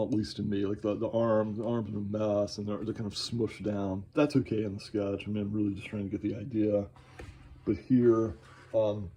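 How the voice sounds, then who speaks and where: A man talking casually while drawing, halting and enthusiastic, with many fillers and restarts, moving around his studio. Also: on the low side, dark tone, a little hiss or hum. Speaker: artist vlogging while drawing. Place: indoor studio, moves around the room.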